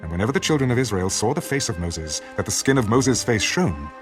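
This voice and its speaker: A man giving a measured, solemn scripted narration of scripture as the narrator of an audio drama. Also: lowish voice, big pitch swings, music in the background.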